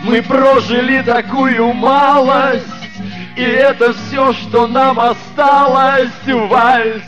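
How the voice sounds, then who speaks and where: Very high male voice singing slowly. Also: flat delivery, dull audio. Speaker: singer-songwriter. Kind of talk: slow acoustic guitar waltz song. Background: plucked acoustic guitar.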